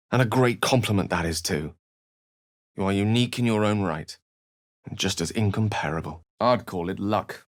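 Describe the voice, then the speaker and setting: British wizard for a video game, low voice, super dry sound, wry n bookish, kinda theatrical. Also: male voice, a bit bright, no echo. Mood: wry, thoughtful. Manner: articulate, bookish, theatrical phrasing. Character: eloquent, urbane male wizard, wry and courteous. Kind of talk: video game character voice acting.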